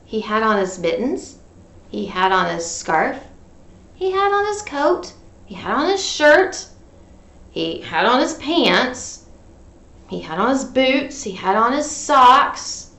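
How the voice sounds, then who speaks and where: A woman's voice: a little high, sing-song, slow pace, fairly bright tone, reverberant. A woman reading a picture book to children in a playful, interactive way, coaxing listeners along. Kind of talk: animated children's read-aloud with sung refrains and asides.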